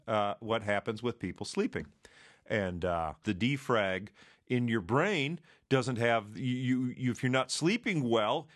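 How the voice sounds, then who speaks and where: A man's voice: fairly low pitch, fairly bright tone, no echo, studio quality. A middle-aged man with a Michigan accent, co-hosting a casual chat show and explaining things in long, rambling stretches full of restarts. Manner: rambling, restarts often, explains with computer comparisons.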